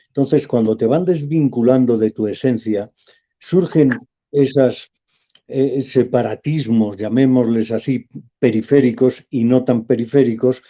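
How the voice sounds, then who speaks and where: Dark-voiced lecturing professor. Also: male voice, mid-range voice, lo-fi sound. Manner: measured, lecturing, emphatic. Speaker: professor, guest. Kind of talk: talk radio interview.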